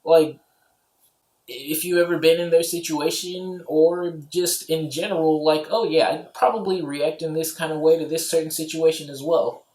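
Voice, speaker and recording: A man's voice: fairly high pitch, fairly even intonation, normal speed, darkish tone. A man giving a casual, offhand movie review, rambling along with lots of filler phrases. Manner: rambling, offhand, peppered with "you know" and "like". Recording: roomy, echoey home recording.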